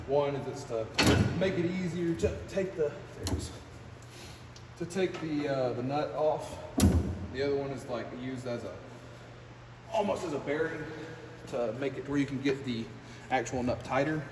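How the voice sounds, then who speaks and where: A man's voice: mid-range voice, moderate pace. An American man giving a casual, rambling hands-on repair vlog, muttering in a folksy, self-deprecating way as he works. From United States. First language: English. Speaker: trucker and vlogger. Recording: echoey, distant mic, clear workshop noise.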